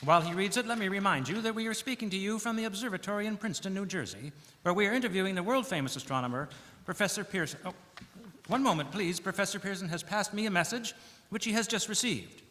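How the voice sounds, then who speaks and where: High-voiced earnest radio reporter, played by a man, hall reverb. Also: fast talker, bright, forceful tone. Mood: inquisitive, formal. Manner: formal announcer phrasing, pointed questions. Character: earnest, inquisitive male 1930s radio news reporter. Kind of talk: 1930s radio news acting. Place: church hall stage, live performance.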